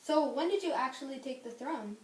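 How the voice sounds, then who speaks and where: A woman's voice: high-pitched, lively intonation, a little fast, darkish tone. A woman hosting a role-play interview in a cheerful, upbeat presenter manner, recorded in an echoey room from a distance. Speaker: interviewer host.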